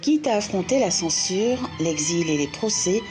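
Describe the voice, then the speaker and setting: Lower-voiced woman narrating a TV report. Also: lively intonation, a little mellow, soft background music. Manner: measured, formal. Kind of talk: scripted documentary narration.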